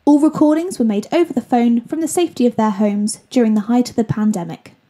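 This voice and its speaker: A woman's voice: on the high side, fairly quick, mellow. A woman reading scripted credits as an announcer. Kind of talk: scripted narration.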